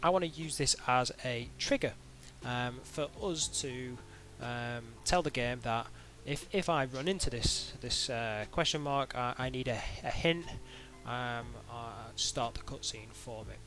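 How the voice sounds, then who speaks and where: A man narrating an informal software tutorial in a hesitant, halting way, as if thinking aloud. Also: mid-range voice, faint music behind. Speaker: tutorial instructor.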